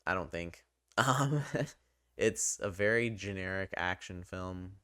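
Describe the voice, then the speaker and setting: Low-voiced casual man, crisp and bright. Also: takes it slowly, dry recording, clean studio sound. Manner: informal, hesitant, self-deprecating. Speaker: video game reviewer and channel host. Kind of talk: casual unscripted commentary.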